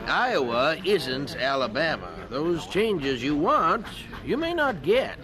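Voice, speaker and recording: A fond, worried professor in a radio drama. His fairly high voice is halting and slow, with wide, fretful swings. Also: big pitch swings, crowd noise. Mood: concerned. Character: fond, worried, excitable male college professor.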